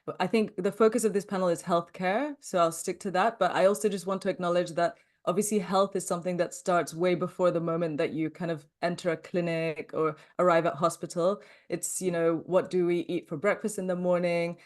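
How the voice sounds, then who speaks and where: A woman's voice: lowish voice, steady tone, a little fast. A woman researcher speaking on a panel, fluent and articulate. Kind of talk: panel discussion.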